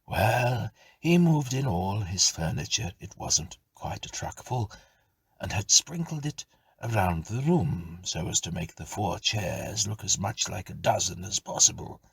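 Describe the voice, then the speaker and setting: Deep, husky, slow male storyteller. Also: lively intonation, no room sound. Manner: measured, suspenseful, occasionally dropping to a hush. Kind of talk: dramatic narration of a Victorian ghost story.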